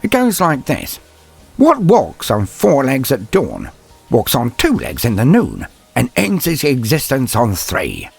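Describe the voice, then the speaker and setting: A man voicing a gruff, defiant old inquisitor in an audio story, mocking and theatrical, with a gravelly, husky voice. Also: medium pitch, huge pitch swings, unhurried, a little mellow, faint music behind, voice pushed up, heavily acted. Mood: sly, taunting. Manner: theatrical, taunting, tags questions with "eh".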